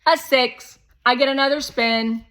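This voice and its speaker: A woman voicing a loud, bossy, boastful young boy in a children's storybook, exuberant and pushy. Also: sits high, measured pace, voice pushed up. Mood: excited, boastful. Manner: exuberant, pushy, self-assured.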